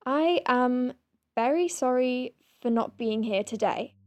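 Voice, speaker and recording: An awkward woman in a science-fiction audio drama. She speaks very slowly in a higher-than-average voice, formal and hesitant, with an apologetic air. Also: expressive, a little mellow, dull audio, faint music behind. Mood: stiff, apologetic. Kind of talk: sci-fi audio drama acting.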